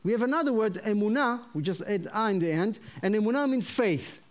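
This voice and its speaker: A man with an Israeli accent, a native Hebrew speaker, preaching to a congregation and teaching Hebrew words, with frequent fillers and pauses. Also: high-pitched. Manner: hesitant with many fillers, then animated teaching. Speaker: visiting pastor. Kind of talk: sermon to a congregation.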